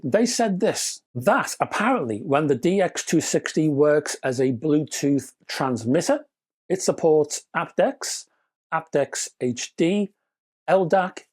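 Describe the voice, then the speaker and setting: A man's voice: fairly high pitch, big pitch swings, a little slow, a little mellow. A British man narrating a scripted product review with chatty, wry asides and emphatic phrasing.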